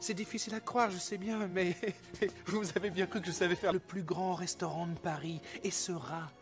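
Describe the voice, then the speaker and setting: A high-voiced young cartoon cook, nervous and earnest. He hesitates and stammers, with music playing behind him. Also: steady tone, normal speed. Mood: pleading. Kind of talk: dubbed animated film acting.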